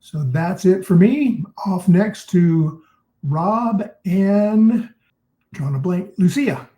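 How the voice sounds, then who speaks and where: A man's voice: high-pitched, expressive, slow pace, dark tone. A man casually co-hosting an online meeting and running a prize drawing. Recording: roomy echo on online call.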